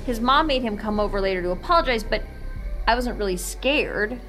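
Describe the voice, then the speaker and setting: An actress playing a nervous young American woman confiding something intimate in a halting, self-conscious, naturalistic audio drama monologue. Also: a little high, expressive, natural voice. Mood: halting, confessional. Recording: music under the voice. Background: music.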